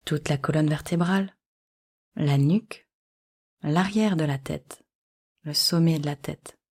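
A woman guiding a yoga nidra relaxation in calm, measured short instructions. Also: low-pitched, breathy edge. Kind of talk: guided relaxation meditation.

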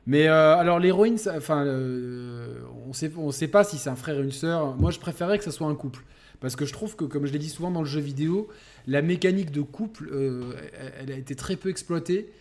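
A man's voice: on the high side, brisk, darkish tone. A man hosting a podcast, musing enthusiastically in casual chat, with many fillers and restarts. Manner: enthusiastic, hesitant with many fillers, thanks donors. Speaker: podcast host.

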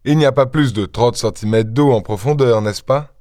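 Man playing a calm, self-assured gentleman detective. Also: medium pitch, dry recording, studio quality, natural voice. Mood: calm, probing. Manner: measured, terse, self-assured.

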